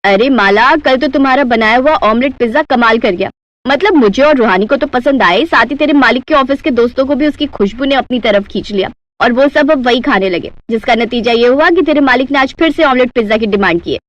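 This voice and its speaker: A woman's voice: fairly high pitch, fairly quick, dry recording, lo-fi sound, natural voice. A woman voicing a bossy, stingy rich housewife with a curt manner in a Hindi cartoon story. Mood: pleased, instructing.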